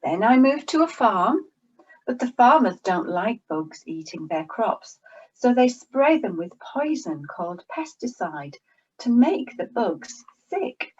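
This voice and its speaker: Woman voicing a gentle little bumblebee. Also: on the high side, very animated, slow pace, husky, lo-fi sound, full character voice. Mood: worried. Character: gentle young male bumblebee.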